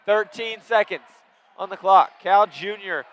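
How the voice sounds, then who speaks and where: A man calling high school basketball play by play, quick and excitable on big plays. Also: slight background noise. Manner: rapid, excitable calls rising on big plays. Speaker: play-by-play announcer.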